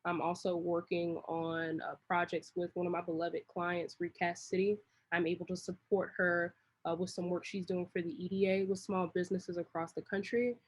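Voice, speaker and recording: A woman's voice: on the low side, little pitch movement, normal speed, husky, rough recording. A woman talking casually as an interview guest, in a rambling, slangy, long-winded way. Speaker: interview guest, creative director.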